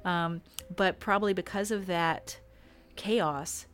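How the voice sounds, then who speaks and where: A woman hypnotist chatting casually as a podcast guest, with a calm, relaxing voice and frequent laughs. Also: lowish voice, slow pace.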